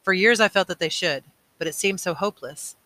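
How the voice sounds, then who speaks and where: Man as a grave, firm elder mentor, bright voice. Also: high voice, voice pushed up. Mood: earnest, reflective. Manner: measured, earnest, authoritative.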